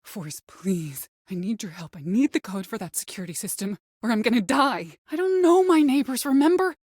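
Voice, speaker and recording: An actress playing a woman caller in a video game, by turns desperate, coaxing and menacing, with a hushed, insistent way of pleading. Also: fairly high pitch, lively intonation, a little fast, no room sound, studio quality. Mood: frightened pleading. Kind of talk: video game voice acting, dramatic dialogue.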